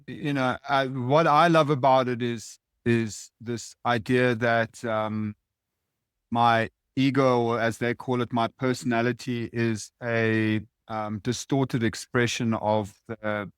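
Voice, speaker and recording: A man speaking thoughtfully in casual conversation, pausing often with ums while he works out his thoughts. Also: medium pitch, clean tone, dry recording, studio quality. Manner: thoughtful, hesitant, many ums.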